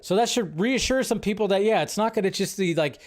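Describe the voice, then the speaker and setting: A man hosts a live movie news stream in a loose, chatty ramble. His voice sits a little high for a man, and he keeps stringing thoughts together with fillers and restarts. It sounds like a desk mic at a streaming setup. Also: lively intonation, a little fast, a little mellow. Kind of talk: casual live-stream chat.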